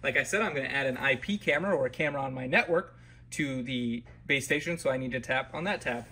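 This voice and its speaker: A man's voice: medium pitch, quick pace, sharp tone. A man presenting a step-by-step product tutorial in an informal, explanatory way. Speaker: tutorial presenter for a security camera company.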